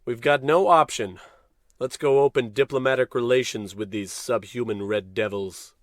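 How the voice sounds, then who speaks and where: An actor playing a pompous, gung-ho American space captain in a parody of old radio adventure serials, declaiming orders with heroic self-importance. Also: male voice, mid-range voice, fairly even intonation, moderate pace, dry recording, studio quality, lightly acted. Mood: pompous command.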